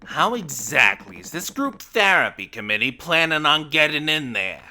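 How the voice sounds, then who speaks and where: A man voicing a gruff, sarcastic crewman of a doomed space freighter in a video game fan dub, blunt and scornful. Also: a little high, sing-song, moderate pace, bright, forceful tone, slight background noise, exaggerated voice.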